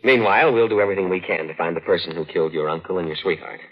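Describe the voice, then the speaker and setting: Casting: fatherly, self-assured cop in old radio drama, very low husky voice, muffled recording. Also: very fast. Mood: paternal, dismissive. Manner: avuncular, assured. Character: kindly paternal policeman, disbelieving and reassuring. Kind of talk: 1940s radio acting.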